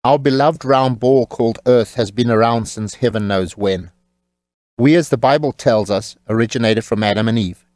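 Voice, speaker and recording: A man's voice: mid-range voice, moderate pace, darkish tone, no room sound, studio quality. A man narrating an audiobook as its author, in a wry, tongue-in-cheek manner.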